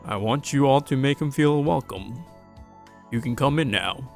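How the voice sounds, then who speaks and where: A man's voice: mid-range voice, normal speed, gravelly, faint music behind, lightly acted. A man playing a welcoming male schoolteacher in a visual novel, announcing formally to a class. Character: friendly male schoolteacher welcoming a new student.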